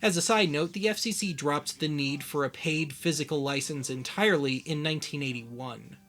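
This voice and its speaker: A man narrating a scripted documentary as its host, with wry, deadpan humour. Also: on the high side, normal speed.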